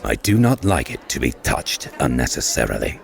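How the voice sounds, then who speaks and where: A man's voice: extremely low pitch, very animated, normal speed, creaky, exaggerated voice. A man playing a pompous, wealthy lord with formal, courteous speech in a dramatised fantasy audiobook. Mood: smug, guarded. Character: haughty wealthy lord, boastful and suavely courteous.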